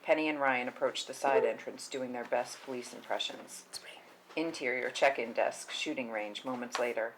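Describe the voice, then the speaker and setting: Very deep-voiced woman reading stage directions. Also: moderate pace, a little hiss or hum, poor audio. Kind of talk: scripted narration at a table read.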